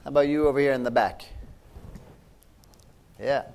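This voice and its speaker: A man's voice: medium pitch, fairly even intonation, normal speed, vocal fry, darkish tone. Upbeat man hosting a kids' Q&A. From Chicago. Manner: upbeat, encouraging, repeats the kids' questions. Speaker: event moderator. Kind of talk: hosting a Q&A for children.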